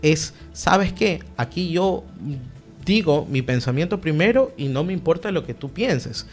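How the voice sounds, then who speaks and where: A man's voice: fairly high pitch, lively intonation, measured pace, soft background music. A young man, answering a radio interview in an emphatic, speech-like way. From Ecuador. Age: young adult. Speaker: political guest, party director.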